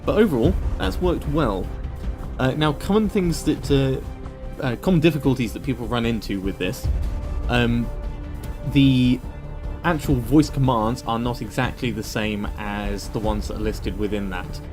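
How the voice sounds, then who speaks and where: A man narrating a step-by-step software tutorial in an informal, explanatory way. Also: mid-range voice, a little slow, over music. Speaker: tutorial presenter.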